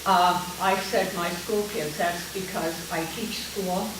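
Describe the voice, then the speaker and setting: Older woman with a big voice, slow and chatty. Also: lowish voice. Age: 70s. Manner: lively, chatty, jokey storyteller. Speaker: guest speaker, art teacher. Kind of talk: casual talk to a small group. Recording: echoey distant room sound with hiss.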